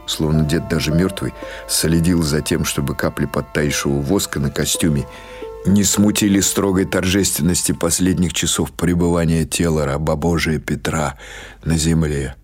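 A man reading literary prose as an audiobook narrator, in a measured, dramatic storytelling manner. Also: extremely low pitch, steady tone, faint music behind.

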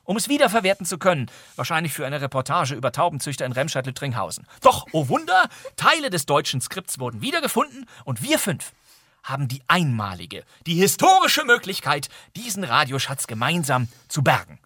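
A male presenter with a bright, fairly high voice. He delivers scripted explanation theatrically, with very lively intonation and emphatic, mock-solemn pomp. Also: fairly quick, dry recording, clean studio sound. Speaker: presenter introducing a radio play.

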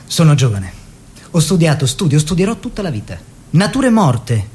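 Young well-to-do painter: higher-pitched, very animated, expressive stage monologue, relishes each short phrase. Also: male voice, normal speed. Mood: earnest. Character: eager young bourgeois painter fleeing the family business. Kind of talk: theatrical radio monologue.

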